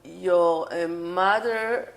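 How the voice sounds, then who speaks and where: A woman's voice: fairly low pitch, drawn-out words, vocal fry. A woman interviewer asking patient, probing questions in an oral history interview.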